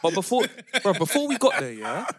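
Young man telling animated stories. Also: high-pitched, brisk, dry recording, clean studio sound. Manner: animated storytelling, laughing slang-heavy. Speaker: podcast co-host. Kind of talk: casual chat among friends.

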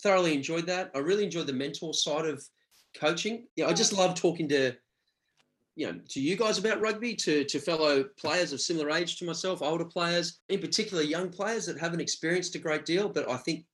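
A man's voice: a little high, a bit bright. A man in his forties from Australia, a former rugby player, talking reflectively in a casual interview with frequent restarts. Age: 40s. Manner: reflective, halting with many restarts.

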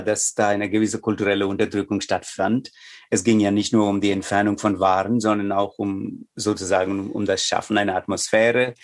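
A man's voice: on the low side, darkish tone. Indian man, thoughtful and hesitant. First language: Tamil. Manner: thoughtful, hesitant with many fillers. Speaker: yoga teacher, guest. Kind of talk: interview answers.

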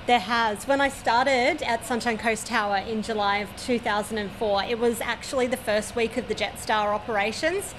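Australian air traffic controller, fairly high voice. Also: female voice, steady tone, normal speed, hardly any pauses. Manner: matter-of-fact, detailed, with frequent "um"s. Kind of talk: interview answers. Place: at a regional airport, overlooking the runway.

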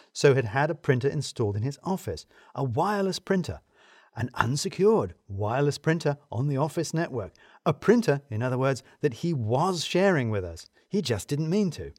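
A man's voice: medium pitch, a little mellow, no echo. A British man giving a scripted first-person comic narration with dry, wry humour and emphatic phrasing. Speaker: narrator of an audio fiction serial.

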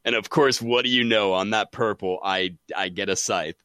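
A man chatting casually about games as a podcast guest, excitable and saying "dude" often. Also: on the low side, normal speed, clear, resonant tone, no room sound, studio quality. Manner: excitable, says "dude" a lot. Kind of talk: casual gaming chat.

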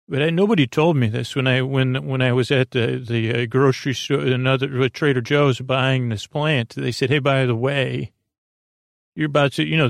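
Male sleep-podcast storyteller, mid-pitched with heavy fry and a dry sound; rambling, halting, mildly absurd, self-deprecating. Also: no room sound. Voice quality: creaky. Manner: halting, digressive, full of false starts and trailing tangents. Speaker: podcast host.

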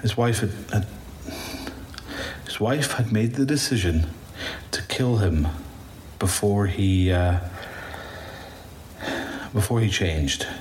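A man playing a shaken, bitter apocalypse survivor in an audio drama monologue, halting, stammering and swearing as he recounts his ordeal. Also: on the low side. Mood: grim, bitter. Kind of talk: first-person audio drama monologue, confessional. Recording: roomy echo, faint background noise.

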